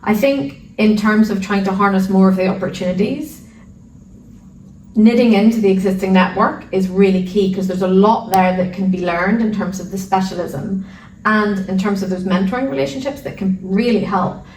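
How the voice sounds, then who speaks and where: A middle-aged Irish woman, an arbitration lawyer answering interview questions in a measured, articulate way with the odd repeated word. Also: medium pitch, a little mellow. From Ireland. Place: residing in London. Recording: roomy sound, distant mic, faint noise.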